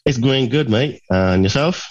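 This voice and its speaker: A man's voice: medium pitch, expressive, moderate pace, no room sound, highs cut off. A middle-aged man from Barbados, a podcast guest telling his life story in a rambling way, with many fillers and restarts. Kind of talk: casual storytelling.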